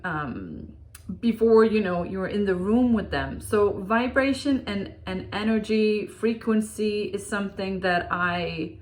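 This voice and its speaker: A woman from Denmark with a Danish accent, a relationship and breakup coach speaking earnestly to her viewers, with many fillers and restarts. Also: medium pitch. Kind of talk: direct-to-camera talk to viewers.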